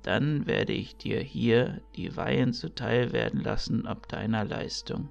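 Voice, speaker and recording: A kindly old priest played by a man speaks in a low, heavily gravelled voice. He is solemn and ceremonial, a fantasy audio-drama mentor, recorded a little dull over music. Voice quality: creaky, gravelly. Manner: slow, measured, ceremonial.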